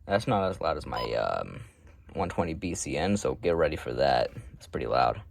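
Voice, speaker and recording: Low-pitched man, casual and offhand. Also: very animated, moderate pace, vocal fry, background noise. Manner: offhand, matter-of-fact, rambling asides. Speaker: hobby collector presenting a radio collection. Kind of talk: casual unscripted show-and-tell.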